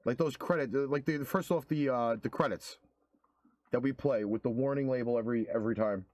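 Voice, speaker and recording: A man's voice: mid-range voice, brisk, a little mellow, no room sound. A man co-hosting a casual podcast, earnestly making a case with some swearing. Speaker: co-host.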